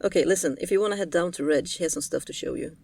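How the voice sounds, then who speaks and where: A laid-back female paranormal investigator voiced by a woman in an audio roleplay, heard at a distance from the mic. Her voice is creaky with fry, and her delivery is casual and offhand. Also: sits low, racing, a little mellow.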